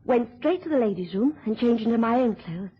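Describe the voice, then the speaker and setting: An anxious female accomplice in a radio drama. Her fairly high voice is very breathy and hesitant, confiding every detail through a dull, lo-fi recording. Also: expressive, brisk. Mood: shaken, anxious. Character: anxious young woman, uneasy accomplice in a crime.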